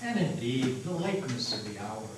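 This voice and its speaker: A man playing a suave, sinister devil who poses as a gentleman, formal and boastful, in a dramatic reading. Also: medium pitch, big pitch swings, moderate pace, close to an everyday voice. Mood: coolly sinister. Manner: formal, boastful, self-assured. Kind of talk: dramatic stage reading of a story. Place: meeting house. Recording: echoey hall, distant mic, faint noise.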